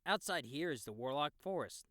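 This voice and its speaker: Man playing a casual, animated veteran gamer guide. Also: fairly high pitch, normal speed, no echo, clean studio sound. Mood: knowing, explanatory. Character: experienced, easygoing young male player guiding a newbie. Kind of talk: audiobook character acting, explanatory dialogue.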